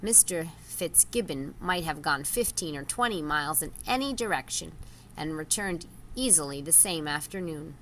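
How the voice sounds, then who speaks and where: A woman's voice: low-pitched, animated, normal speed, fairly bright tone, slight background noise, poor audio. A woman voicing a practical male rat adviser in a children's storybook, reasoning in a matter-of-fact way.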